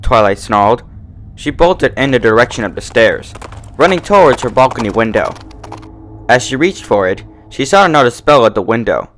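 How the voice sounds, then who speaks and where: A man's voice: mid-range voice, moderate pace, a little hiss or hum. A man narrating a story in a dramatic, scripted reading style. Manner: animated, dramatic.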